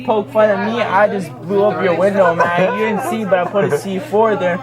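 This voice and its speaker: A man's voice: high-pitched, steady tone, moderate pace, pitched above the performer's own voice, lightly acted. A young man voicing a gleeful, menacing male caseworker who mocks and taunts a child. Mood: taunting. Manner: mocking, taunting. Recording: noisy room with crowd chatter.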